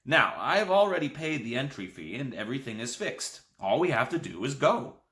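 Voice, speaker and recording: Excitable, folksy old grandpa, raised and lively in pitch, bright tone, as an audiobook reader puts him on. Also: male voice, moderate pace, echoey room. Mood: earnest. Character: excitable old grandfather, storekeeper and deal-maker.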